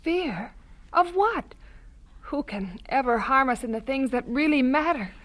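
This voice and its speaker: An earnest young missionary wife in an audio drama. Her voice is very high, and she comes across as conscientious and sincere. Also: animated, unhurried, a bit bright. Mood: untroubled, confident.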